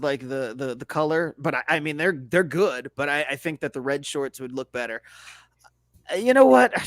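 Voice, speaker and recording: A man's voice: on the high side, fairly bright tone, dry recording. A man giving his opinions as a panelist in casual sports banter, swearing now and then. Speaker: podcast panelist.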